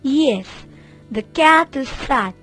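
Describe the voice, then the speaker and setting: A woman voicing Lakshmi, Raman's gentle adult wife, in an animated children's story, speaking earnestly. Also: high-pitched, very slow, faint music behind, heavily acted.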